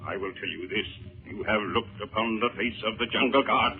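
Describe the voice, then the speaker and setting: An actor playing a menacing, mysterious temple villain in a 1930s radio serial, speaking formally and ominously. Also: male voice, fairly low pitch, natural voice. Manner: slow, formal, ominous delivery. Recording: scratchy tinny 1930s radio recording.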